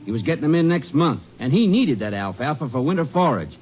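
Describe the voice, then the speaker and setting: An actor playing a defensive, stubborn rural feed buyer with a folksy, emphatic delivery in old radio drama. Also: male voice, on the high side, very animated, fast, a little mellow. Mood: indignant, insistent. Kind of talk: 1940s-50s radio drama acting. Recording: muffled, noisy old radio recording.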